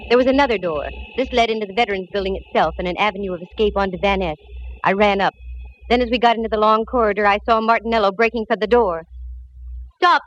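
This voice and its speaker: An actress playing a tough, wisecracking female private eye, narrating in brisk hard-boiled style on a 1940s radio drama. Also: mid-range voice, lightly acted. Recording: dull old radio broadcast with music.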